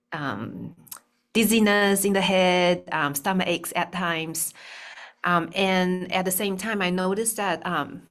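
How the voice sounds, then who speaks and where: A woman from Taiwan, a speech-language pathologist, talking through her story in a video call interview with many pauses and ums. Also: lowish voice, a bit bright. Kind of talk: casual interview talk. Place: bright room indoors.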